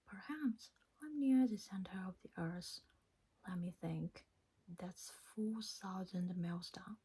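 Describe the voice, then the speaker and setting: A non-native English-speaking woman voicing a curious, talkative storybook girl musing to herself in a breathy ASMR whisper. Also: mid-range voice, slow pace, dark tone. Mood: wondering. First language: not English.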